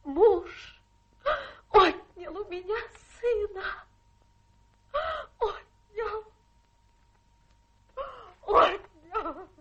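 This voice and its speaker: Self-pitying adventuress, radio drama. Very high, unhurried delivery, plaintive, flirtatious, stagey tragic airs. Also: female voice, lo-fi sound, heavily acted. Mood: tearful, desperate. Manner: melodramatic, plaintive, coquettish. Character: theatrical, self-pitying adventuress feigning a tragic fallen lady. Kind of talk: theatrical radio play acting.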